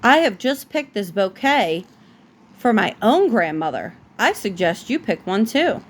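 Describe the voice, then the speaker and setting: A woman's voice: medium pitch, sing-song, measured pace. A woman voicing a sly, hungry male storybook wolf who coaxes with false sweetness as a pretend grandmother. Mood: sly, polite.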